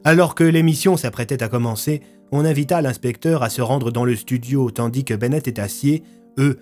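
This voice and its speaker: A man's voice: medium pitch, brisk, a little mellow, soft background music. A man narrating a scripted audio fiction story.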